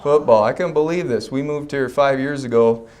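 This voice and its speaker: A man gives a health talk at a mid pitch. He keeps a relaxed, folksy manner, joking with the crowd and calling them "guys.". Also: normal speed. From Fargo, North Dakota. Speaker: chiropractor giving a health talk. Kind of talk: public talk at a health expo. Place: expo breakout session room before a live audience.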